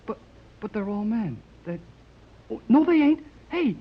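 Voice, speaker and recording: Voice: mid-range voice, sing-song, unhurried, breathy edge, heavily acted. An actor playing an eager, loyal teenage boy adventurer in a 1930s radio drama, with slangy enthusiasm. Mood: thrilled. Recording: muffled, noisy 1930s radio recording.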